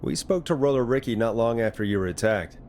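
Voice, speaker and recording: An actor playing a radio DJ in a horror game, measured, dry and probing as he questions a caller. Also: medium pitch, a little mellow, slight background noise, natural voice. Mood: concerned, probing. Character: middle-aged radio DJ calmly probing a dark mystery. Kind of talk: dramatic video game voice acting.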